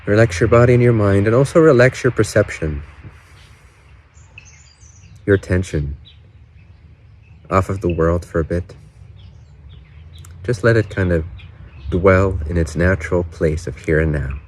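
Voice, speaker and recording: A man leading a guided meditation in gentle, unhurried short phrases with pauses between them. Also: low-pitched. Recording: birdsong and faint outdoor ambience behind. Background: doves, frogs, birds and distant cars.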